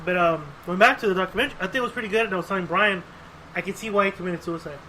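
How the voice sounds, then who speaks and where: A man's voice: high-pitched, very fast, pushed and bright, slight background noise, poor audio. A man co-hosting a podcast in casual chat, often repeating his own phrases. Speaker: podcast host.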